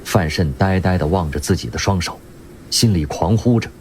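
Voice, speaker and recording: A man narrating a radio drama in a vivid, expressive storytelling manner. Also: low-pitched, normal speed, a little hiss or hum. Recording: music underneath.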